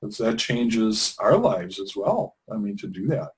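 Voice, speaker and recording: Relaxed low-voiced man. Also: unhurried, poor audio. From Wisconsin, USA. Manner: relaxed, rambling, with frequent restarts and fillers. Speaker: interview guest, English teacher trainer. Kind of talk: conversational interview.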